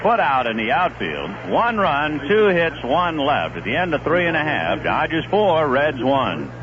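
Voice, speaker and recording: A man calling baseball play-by-play on a 1970s radio broadcast, in a steady, conversational manner. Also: measured pace, crowd noise. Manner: steady, conversational play-by-play with live pitch calls. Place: stadium broadcast booth. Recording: muffled 1970s AM radio broadcast with faint hiss.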